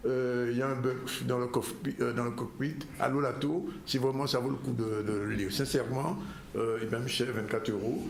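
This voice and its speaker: A man's voice: medium pitch, steady tone, normal speed, creaky, roomy sound, a little hiss or hum. A male host leading a live studio interview with brief, casual questions and frequent affirmations.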